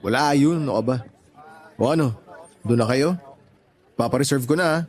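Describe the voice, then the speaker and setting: A man playing a calm, supportive young boyfriend in a Tagalog radio drama, speaking in a reassuring, conversational way. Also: medium pitch, normal speed, natural voice. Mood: helpful.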